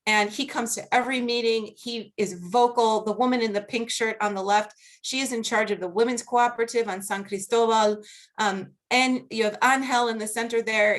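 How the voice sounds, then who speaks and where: Earnest woman with a Boston accent. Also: fairly high pitch. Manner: earnest, measured, laughs easily. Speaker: guest speaker, marine conservation researcher. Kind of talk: academic panel talk and Q&A.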